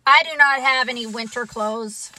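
A woman voicing an excitable, anxious, boastful male toad in a children's storybook, with an exclamatory, theatrical delivery. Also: on the high side, normal speed, recorded from a distance. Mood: grumpy, alarmed.

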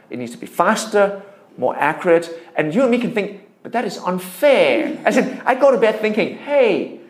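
Echoey, higher-voiced Danish-accented man. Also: darkish tone. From Denmark. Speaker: tech startup founder being interviewed. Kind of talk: explanatory interview talk. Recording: echoey room.

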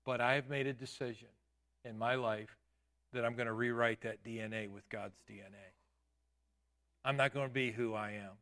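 A man in his late fifties preaching a sermon in a church, with emphatic declarations and quieter asides. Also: medium pitch, fairly even intonation, moderate pace, long pauses. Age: 50s. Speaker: pastor. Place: church sanctuary.

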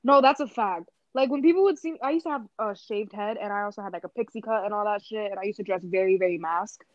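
A young woman co-hosting a podcast, telling stories in an excitable, slangy way. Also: mid-range voice, fast, no echo, muffled recording. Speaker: podcast co-host. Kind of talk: casual chat.

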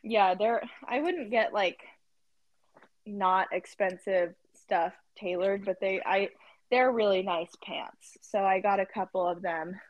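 A woman's voice: medium pitch, slow, no echo, highs cut off. Chatty, rambling young woman. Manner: chatty, laughing, rambling storyteller. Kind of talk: casual chat.

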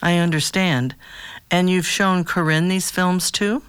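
A woman playing a calm, patient therapist who asks probing questions in a measured, formal way in an audio drama. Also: fairly low pitch, clean tone, dry recording, lightly acted. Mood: calm, curious.

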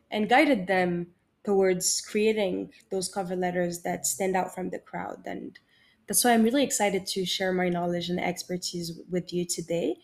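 A woman's voice: mid-range voice, darkish tone. A woman from Morocco hosting a solo podcast, giving upbeat, motivational career advice in short, emphatic phrases. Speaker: podcast host and career coach.

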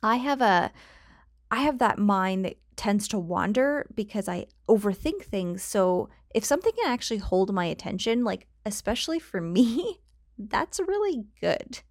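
A woman's voice: medium pitch, sing-song, a little slow, dry recording, clean studio sound. A woman with a Canadian accent hosting her own podcast, talking casually and rambling off on tangents with frequent laughs, on a cheap home microphone. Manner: chatty, rambling, laughs often, goes off on tangents. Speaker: podcast host. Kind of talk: casual solo podcast chat.

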